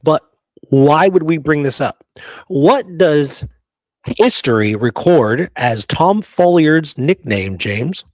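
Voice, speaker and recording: A man's voice: on the high side, expressive, no echo. Emphatic male co-host, dry close sound. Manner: emphatic, with drawn-out key phrases and rhetorical questions. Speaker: history show co-host. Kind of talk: conversational debunking discussion of an old photograph.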